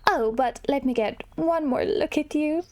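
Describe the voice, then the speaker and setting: A woman voicing a kindly, forgetful elderly fairy godmother in a video game, gentle and hesitant, fussing as she goes. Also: sits high, measured pace. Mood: fond.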